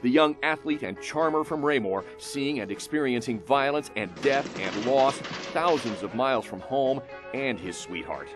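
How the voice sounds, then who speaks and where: An American man narrating a scripted human-interest news feature as a reporter, in short emphatic phrases with dramatic pauses. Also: medium pitch, lively intonation. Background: music under the voice.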